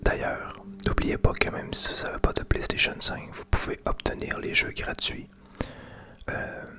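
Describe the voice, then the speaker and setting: A Québécois man speaks entirely in a soft whisper, with almost no voiced tone. The sound is bright and sibilant. He guides viewers gently and without hurry through a video game, in the style of an ASMR gameplay commentary. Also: extremely high pitch. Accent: Québécois French. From Quebec.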